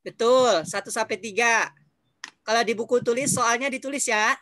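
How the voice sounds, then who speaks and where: A man's voice: very high voice, steady tone, moderate pace, sharp tone, no echo. A male teacher explaining a lesson to his class on a video call, patiently repeating instructions.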